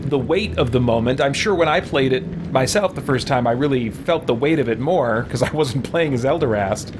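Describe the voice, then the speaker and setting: A man's voice: medium pitch, a little fast, noisy background. A man giving casual livestream commentary on a game, thinking aloud in a hesitant, reflective, rambling way. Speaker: game streamer. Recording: music behind the voice.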